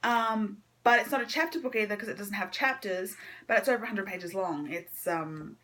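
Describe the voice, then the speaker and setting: Female book vlogger, mid-pitched voice, informal and unscripted, thinking aloud with some hesitation. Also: normal speed, a bit bright, slight background noise. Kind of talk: casual monthly reading wrap-up chat.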